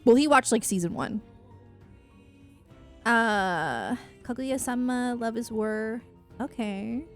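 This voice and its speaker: Female streamer, fairly high voice, effusive and self-deprecating, rambling casually to chat over music. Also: takes it slowly, pauses often. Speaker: livestreamer. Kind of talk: casual chatty livestream, reading and answering chat.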